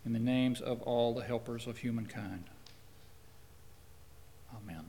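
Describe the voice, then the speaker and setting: A man leading the congregation in prayer, speaking softly and solemnly. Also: medium pitch, long reverb tail, a little hiss or hum. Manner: soft, slow, solemn. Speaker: worship leader. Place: church sanctuary.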